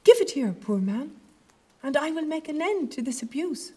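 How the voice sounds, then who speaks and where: A woman's voice: sits high, big pitch swings, normal speed, mellow. A woman playing a commanding, compassionate protectress in an audio play, speaking emphatically and dramatically. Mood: commanding, indignant.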